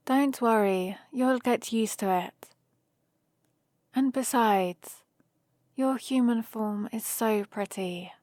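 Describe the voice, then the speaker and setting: Woman acting a slow-paced, playful young adventurer heroine. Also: medium pitch, no room sound, studio quality, natural voice. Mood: playful, teasing. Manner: chatty, rambling, gently teasing. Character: young human heroine adventurer, playful, teasing, fond of dragon friend. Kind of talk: ASMR roleplay, one-sided conversation.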